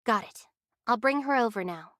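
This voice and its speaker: Composed young game heroine. Practical, slightly high, no room sound. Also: female voice, animated, moderate pace, fairly bright tone, no echo, studio quality. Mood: composed, businesslike. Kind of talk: English anime game voice acting.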